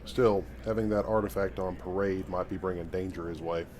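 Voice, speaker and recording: A man voicing a courteous, earnest space adventurer, measured and articulate, over a video game visual novel. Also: sits low, steady tone, darkish tone, lightly acted. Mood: inquisitive.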